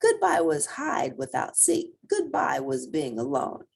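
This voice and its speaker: A woman reading a children's picture book aloud as an expressive storyteller with theatrical emphasis. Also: sits low, measured pace, vocal fry, slightly rough.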